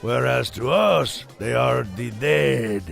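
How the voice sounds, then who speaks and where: A man playing a doting, theatrical father in an animated film, speaking in a grand, expressive and gently reassuring way. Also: mid-range voice, slow pace, vocal fry, faint music behind, heavily acted. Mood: explaining, reassuring. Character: doting, theatrical father guiding his child.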